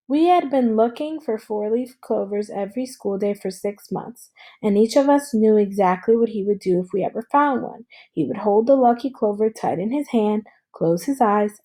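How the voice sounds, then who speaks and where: A woman reading a story aloud as a teacher and thinking out loud, checking in with listeners in an instructional way. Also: mid-range voice. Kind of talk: read-aloud lesson with think-aloud commentary.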